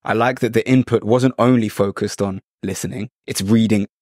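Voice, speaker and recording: A British man with a somewhat low voice hosts an interview in a relaxed, conversational way, trading his own stories with the guest. The recording is dry and close with no room sound. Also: normal speed, dry recording. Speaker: host. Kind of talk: casual interview chat.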